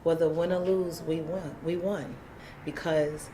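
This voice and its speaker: A woman's voice: deep voice, fairly even intonation, a little slow, mellow, slight background noise, low-quality recording. A woman, a community housing activist, telling her story candidly in an interview, emphatic and plain-spoken, acting out what people said.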